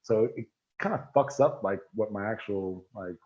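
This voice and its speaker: A man's voice: fairly low pitch, animated, moderate pace, crowd noise. Laid-back American man on a muffled call. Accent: American with Southern and Swedish touches. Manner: rambling, laid-back, laughs often. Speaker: guest, metal singer. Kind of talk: casual chat.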